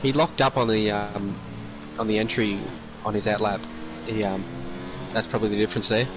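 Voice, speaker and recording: A man's voice: lowish voice, steady tone, fairly quick, music in the background. A man co-commentating on a racing stream with dry, offhand remarks. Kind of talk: casual commentary chat.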